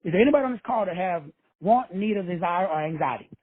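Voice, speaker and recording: Husky man preaching over the phone. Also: high voice, expressive, fast talker. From Texas. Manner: swaggering, preachy, peppered with profanity and slang. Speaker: host of a call-in show. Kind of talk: profane motivational preaching. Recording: tinny phone line, dry.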